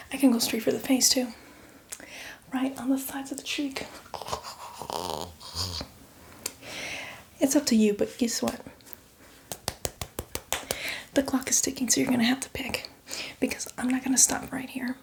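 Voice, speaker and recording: A whispering female zombie, played by a woman in an ASMR roleplay, with a fairly high voice over clearly audible background noise. She rambles casually and reassuringly, fussy and apologetic yet eager for blood. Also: little pitch movement, brisk, a little mellow. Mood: coaxing, impatient.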